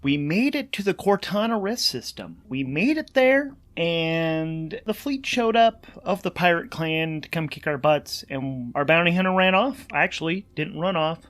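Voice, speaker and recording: A man hosting a solo tabletop role-playing game, narrating and thinking aloud in an informal, animated, enthusiastic way. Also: fairly high pitch, measured pace, hardly any pauses. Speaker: host and player of a solo tabletop RPG podcast. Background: music.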